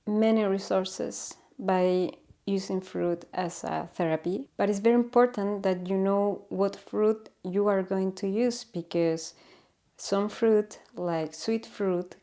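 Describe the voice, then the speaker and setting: Chilean woman, non-native English, slow and soft-toned, hesitant host explaining calmly. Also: mid-range voice. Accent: Chilean Spanish. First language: Spanish. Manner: hesitant, searching for English words with many fillers. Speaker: channel host. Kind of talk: informal explanatory talk to camera.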